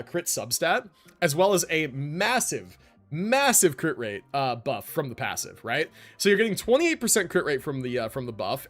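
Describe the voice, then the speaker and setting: A man doing casual livestream commentary on a game, explaining conversationally and often checking in with the listener. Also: a little high.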